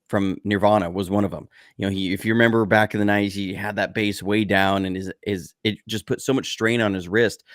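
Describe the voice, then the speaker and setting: Low-voiced man turning forty, rambling. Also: dry recording, clean studio sound. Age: 30s. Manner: rambling, self-correcting, reflective. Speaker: podcast co-host. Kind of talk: casual chat.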